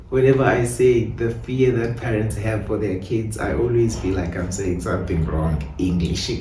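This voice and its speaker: A man's voice: fairly low pitch, dark tone, recorded from a distance. A man with a South African accent giving reflective interview answers as a playwright and director. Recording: echoey room, off-mic, faint noise.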